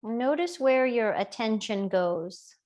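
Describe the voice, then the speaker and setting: A woman teacher gently guiding a body scan meditation in an unhurried, soothing way with long pauses. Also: a little high, lively intonation, dull audio. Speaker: yoga and body awareness teacher.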